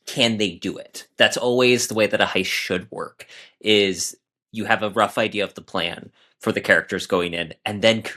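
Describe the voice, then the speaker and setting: Lowish bright forceful male voice, podcast cohost, articulate, appreciative, casual chat. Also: normal speed.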